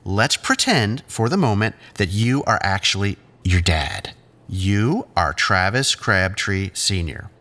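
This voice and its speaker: A male actor playing a condescending, controlling psychiatrist who talks in patronizing, measured therapy-speak in an audio drama. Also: lowish voice, sing-song, sharp tone, heavily acted. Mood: falsely soothing.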